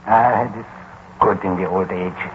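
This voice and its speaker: An actor playing a lonely, courteous old German man in a 1940s radio drama, speaking haltingly and wistfully with a German accent. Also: low-pitched, steady tone, close to an everyday voice. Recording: muffled, noisy 1940s radio recording.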